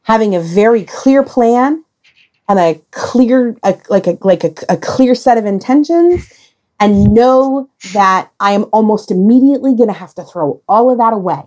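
A woman hosting a podcast discussion in casual talk, with emphatic, deliberate phrasing and pauses. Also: medium pitch, lively intonation, darkish tone.

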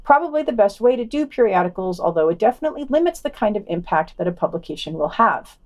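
A woman's voice: mid-range voice, very animated, quick pace. A woman co-hosting a podcast, reading a scripted news round-up and dropping in wry, sardonic asides. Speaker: podcast co-host.

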